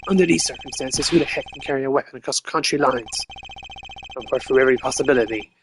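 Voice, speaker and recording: A man's voice: medium pitch, fast talker, noisy background, close to an everyday voice. A man voicing a brash, informal, confident detective in a video game, taunting his rivals. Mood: confident, challenging.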